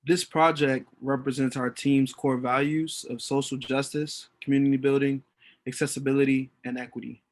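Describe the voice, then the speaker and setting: Young man, American accent, flat intonation, close dry sound, student presenter. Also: medium pitch, moderate pace, no room sound. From Washington, D.C., United States. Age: 20s. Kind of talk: scripted presentation.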